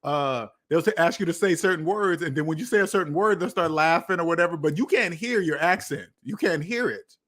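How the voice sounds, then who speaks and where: American male livestream host, slightly high voice, casual and rambling, circles back on his phrases. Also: fairly quick, few pauses, fairly bright tone. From United States. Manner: rambling, repeats phrases for emphasis. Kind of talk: casual livestream chat, answering viewer comments.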